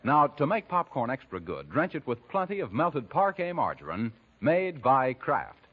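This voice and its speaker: An American man with a husky voice, announcing in the emphatic, scripted sales style of 1940s radio. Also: mid-range voice, wildly swooping pitch, normal speed. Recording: dull 1940s radio recording.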